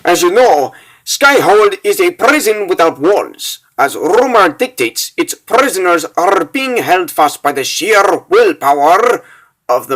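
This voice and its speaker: A bookish, courteous plotter lays out a scheme in grand, formal words for a comic dub , acted by a man. The voice sits fairly high and bright, and moves slowly with lively swings in pitch. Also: full character voice, poor audio. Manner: formal, theatrical, over-articulate. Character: polite, scholarly male planner of a prison break, long-winded. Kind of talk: comic book dub, dramatic character voices.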